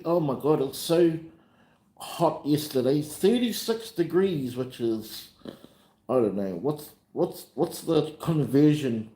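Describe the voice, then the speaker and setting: A man's voice: mid-range voice, mellow, low-quality recording. A man with a New Zealand accent hosting a casual livestream chat in an easygoing, rambling way, often repeating himself. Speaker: livestream host and vlogger.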